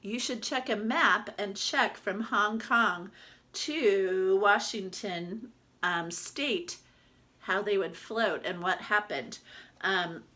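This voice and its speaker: A woman's voice: mid-range voice, sharp tone. A woman reading a storybook aloud in a teacherly manner, expressively and with questions put to young listeners. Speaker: storybook reader. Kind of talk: expressive read-aloud of a picture book with asides to children.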